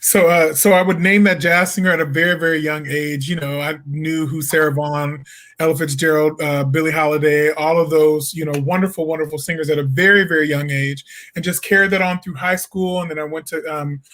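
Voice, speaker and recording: Easygoing young man with a higher voice. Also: fairly even intonation, a little fast, fairly bright tone. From Peoria, Illinois. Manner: easygoing, laughs often, many fillers and restarts. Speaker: jazz singer and songwriter, guest. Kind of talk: casual interview answers.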